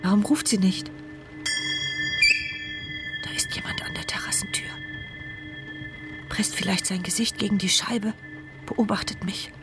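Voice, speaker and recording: A woman's voice: medium pitch, lively intonation, measured pace, pauses often, in a whisper, natural voice. Actress as introspective, anguished woman narrator over music. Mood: anxious, alert. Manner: introspective, speaks in short present-tense thoughts. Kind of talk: dramatic first-person radio play monologue. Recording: studio radio play with background music.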